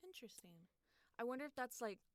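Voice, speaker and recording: Podcast host, female, around 20. Smooth voice, dry close recording, informal interviewing style. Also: a little high, lively intonation, fast talker, no echo. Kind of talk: casual chat, interviewing.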